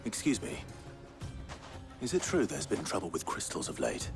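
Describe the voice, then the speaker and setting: An actor playing a brooding, blunt British fantasy hero in a video game, speaking in a terse, serious way. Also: male voice, deep voice, wildly swooping pitch, normal speed, gravelly, over music, exaggerated voice. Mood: guarded. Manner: terse, serious, plain-spoken.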